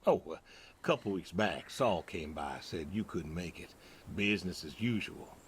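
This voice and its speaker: A man's voice: deep voice, animated, moderate pace, husky, exaggerated voice. A man playing a stoic, weary older pig farmer and war veteran in a game, laconic and folksy. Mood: fond, easygoing. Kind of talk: naturalistic video game dialogue.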